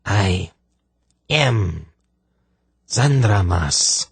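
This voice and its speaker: A man voicing a malevolent sorceress in a fantasy audiobook, hateful and menacing, with a harsh rasp and archaic, peculiar speech. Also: lowish voice, lively intonation, very slow, no room sound, pitched above the performer's own voice, exaggerated voice. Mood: gloating menace. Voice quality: harsh, rasping.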